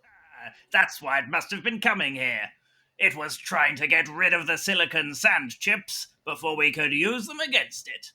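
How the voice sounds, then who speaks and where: A bossy, pompous doctor from a comedy audio play, put on by a performer. The voice is husky and very sharp, swinging widely in pitch with melodramatic emphasis. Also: lively intonation, normal speed. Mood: excited, commanding. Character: excitable, bossy, pompous hospital doctor in a farce. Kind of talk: improvised comedy audio drama.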